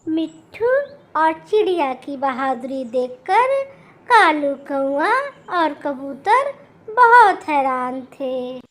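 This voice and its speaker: A woman's voice: sits high, animated, slow, fairly bright tone. A woman narrating a scripted children's story as a storyteller.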